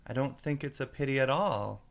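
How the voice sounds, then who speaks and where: A man's voice: medium pitch, normal speed, vocal fry, pitched above the performer's own voice, close to an everyday voice. A man voicing a bold but polite young girl in a storybook, speaking in a plain, decided way.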